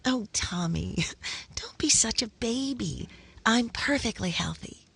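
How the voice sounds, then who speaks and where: A woman's voice: on the high side, expressive, slow pace, gravelly, fairly bright tone, breathy edge, full character voice. A woman playing a young bride-to-be in a 1930s-style radio horror drama, with theatrical, emotional delivery. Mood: playful, teasing. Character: young bride-to-be, playful, then desperate while presumed dead.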